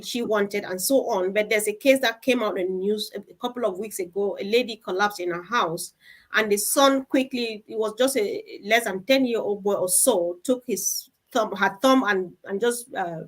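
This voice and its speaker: A woman hosting a live online group discussion, speaking in an encouraging, effusively thankful manner. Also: medium pitch. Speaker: host of an online team meeting.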